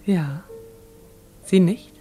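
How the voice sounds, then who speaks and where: An actress playing a romantic, innocent teenage girl, a governor's daughter, in a radio drama, dreamy and gently teasing. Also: fairly low pitch, drawn-out words, faint music behind.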